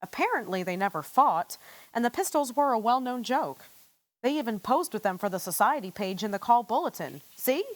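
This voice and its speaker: A sharp, brash newspaperwoman from an old radio play, played by an actress. Her voice sits high and leaps around in pitch. She talks in snappy slang with a sardonic edge. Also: lively intonation, brisk, close to an everyday voice. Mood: brisk, gossipy. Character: brash, wisecracking woman newspaper reporter, 1940s style.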